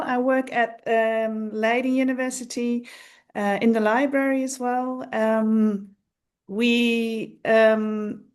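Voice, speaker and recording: A woman from a university library introducing herself on a video call, hesitant, with many fillers. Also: a little high. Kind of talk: online meeting self-introduction.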